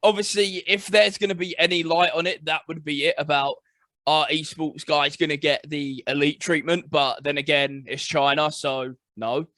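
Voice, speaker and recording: A man co-hosting a casual gaming podcast, agreeable and chatty, quick to laugh. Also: a little high, steady tone, pushed and bright, no echo. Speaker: podcast co-host.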